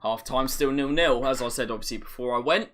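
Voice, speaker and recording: Bright-voiced fan commentating live. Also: male voice. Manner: partisan, rises sharply on chances, drops low when play slows. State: voice getting a little raspy. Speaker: fan hosting a live match watch-along. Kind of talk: excited live match commentary.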